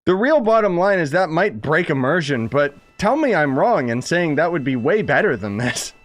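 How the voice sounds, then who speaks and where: A man's voice: a little high, very animated, moderate pace, soft background music. A man streaming a game with casual, sarcastic and incredulous reaction commentary.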